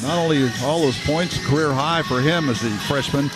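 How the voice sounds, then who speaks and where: A man's voice: fairly high pitch, normal speed, over loud music, low-quality recording. A man working as a color analyst on live sports radio, recapping stats and game situations.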